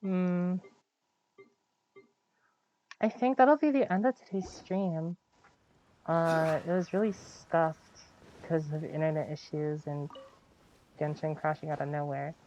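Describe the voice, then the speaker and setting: A woman's voice: sits low, slow pace, pauses often, dark tone, muffled recording, slight background noise. A woman chatting casually on a game livestream, thinking aloud as she plays and often correcting herself. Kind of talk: casual livestream gameplay chat. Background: video game music.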